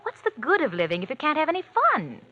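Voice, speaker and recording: A woman's voice: high-pitched, huge pitch swings, fast talker, sharp tone, heavily acted. A breezy scheming rich girl from old radio, swoopy voice, muffled sound. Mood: coaxing. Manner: breezy, defiant. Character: thrill-seeking young society woman, cool and scheming. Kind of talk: 1940s radio acting.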